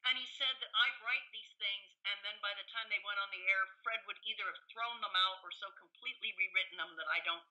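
A woman's voice: mid-range voice, fast, few pauses, pushed and bright, poor audio. A middle-aged or older woman talking as an expert guest in a conversational interview, telling anecdotes in a chatty, opinionated way. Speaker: guest expert, professor and author.

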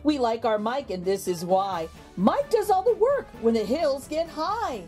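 A woman reading a picture book aloud to young children, with expressive, exaggerated intonation and drawn-out words. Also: sits high. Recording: background music under the voice. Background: music.

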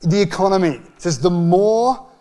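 A man in his forties with an Australian accent, preaching a conversational sermon into a handheld microphone with emphatic, deliberate phrasing. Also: high-pitched, animated, warm tone. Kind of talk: sermon, conversational public teaching. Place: church hall with stage.

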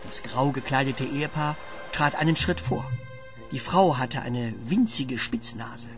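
A man's voice: mid-range voice, measured pace, music in the background. A man giving scripted narration for a children's story.

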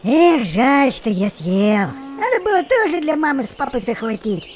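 An outspoken young duckling acted by a woman speaks insistently. It is a high, lively storybook voice with birds behind. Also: normal speed, slight background noise. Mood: regretful.

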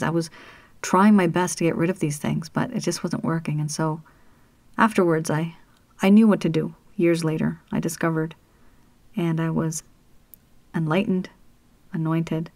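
A woman from Canada giving a slow, earnest talk about spirits as a spiritual teacher, with pauses and the odd whispered word. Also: deep voice, a little mellow, no echo. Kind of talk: solo spoken talk on spirits.

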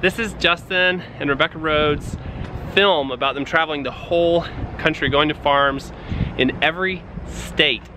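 A man's voice: fairly high pitch, normal speed. Easygoing man vlogging on a noisy street. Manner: easygoing, rambling with fillers. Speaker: vlogger, father. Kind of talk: casual vlog talk. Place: outdoors on a town street. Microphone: camera held at arm's length.

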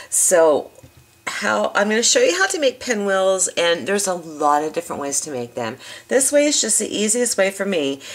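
A woman hosting a craft demonstration, slightly hoarse from a lost voice, chatting and rambling as she shows paper products. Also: sits low, fairly bright tone. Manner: chatty, rambling, enthusiastic asides. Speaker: craft video host.

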